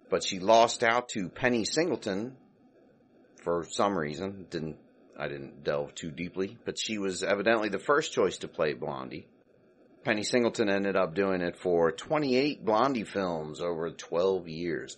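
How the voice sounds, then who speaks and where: A man hosting a podcast, casually recapping an old comedy short with lots of hesitations and self-corrections. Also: deep voice. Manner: rambling, hesitant, full of ums and self-corrections; retells scenes, briefly quoting characters. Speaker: podcast host.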